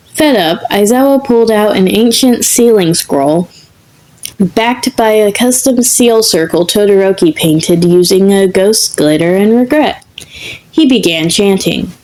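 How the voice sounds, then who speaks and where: A woman reading comic fan fiction as scripted narration, in a measured, deliberate manner. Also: medium pitch, lively intonation, a little mellow, rough recording. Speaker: podfic reader.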